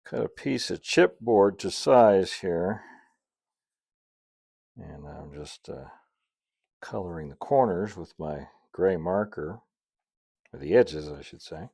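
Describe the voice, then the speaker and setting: A man's voice: low-pitched, lively intonation, takes it slowly, vocal fry, long pauses, a little mellow, husky. A middle-aged man narrating a hands-on hobby tutorial in a casual, folksy way with chatty asides. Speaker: model railroad builder and video host.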